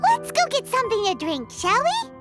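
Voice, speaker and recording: A woman's voice: very high-pitched, huge pitch swings, normal speed, over music, exaggerated voice. A woman voicing a cheerful, kindly and playful young girl in a video game. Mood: reassuring.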